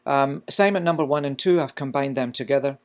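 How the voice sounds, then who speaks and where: A man's voice: mid-range voice, quick pace, smooth. A Scottish man, a culinary instructor, giving a recorded lecture, explaining at length with frequent fillers. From Scotland.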